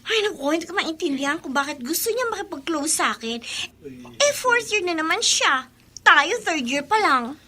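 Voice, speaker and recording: Haughty teen brat: very high, bright, whiny radio drama delivery. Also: female voice, expressive, moderate pace, exaggerated voice. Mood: disdainful. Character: snobbish, bratty rich third-year schoolgirl. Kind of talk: Tagalog radio drama acting.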